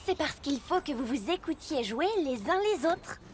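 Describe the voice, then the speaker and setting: Institutrice enjouée à la voix aiguë. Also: female voice, high voice, lively intonation, moderate pace, a little hiss or hum. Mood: cheerful, instructive. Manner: encouraging, instructive. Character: cheerful, patient female teacher guiding young trucks. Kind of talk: children's cartoon voice acting.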